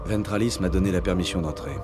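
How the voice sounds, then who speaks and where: A man's voice: low voice, fast, lightly acted. A man playing a terse, commanding military officer in a dubbed video game. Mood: businesslike. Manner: terse, businesslike. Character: steady commanding male military officer, interrogating. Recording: music behind the voice.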